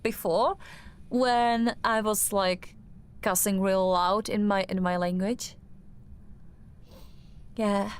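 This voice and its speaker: Young Czech-accented woman streamer, slow and hesitant, fairly high voice, casual game-stream chatter. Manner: hesitant, full of fillers and restarts. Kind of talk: casual chat while gaming.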